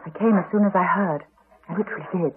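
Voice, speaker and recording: A woman's voice: lowish voice, quick pace, over music, lightly acted. An actress playing a guarded, troubled mother in a 1980s radio drama, terse and hesitant. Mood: polite, wry. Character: guarded, troubled mother haunted by childhood guilt. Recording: muffled, narrow-band 1980s radio broadcast.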